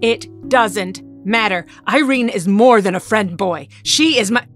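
A commanding supervillainess played by a woman in an audio drama. She is cold and imperious, with a mid-pitched voice over faint music. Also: unhurried, a bit bright, lightly acted. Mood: angry, commanding. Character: commanding, cold female shapeshifting mutant villain.

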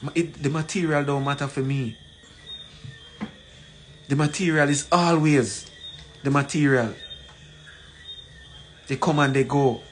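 A man in his forties with a Jamaican accent gives an emphatic, deliberate address to camera, repeating phrases for weight. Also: mid-range voice, fairly even intonation. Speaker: community leader. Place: small plain room indoors. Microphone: phone at arm's length. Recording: noisy room, ambient background sound.

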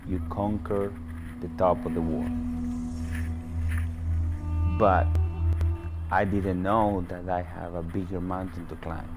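A man's voice: low voice, flat delivery, takes it slowly, long pauses, music in the background. A middle-aged man from the Dominican Republic with a Dominican Spanish accent, a native Spanish speaker, giving a personal testimonial. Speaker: cancer patient telling his story. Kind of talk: personal testimonial in a promotional documentary.

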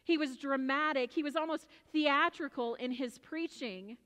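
Female American preacher, high-pitched, projecting emphatically in a big echoing church. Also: moderate pace. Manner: emphatic, loud projected delivery. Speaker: pastor preaching. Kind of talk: sermon with personal storytelling. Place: large church sanctuary, at pulpit. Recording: reverberant large church hall.

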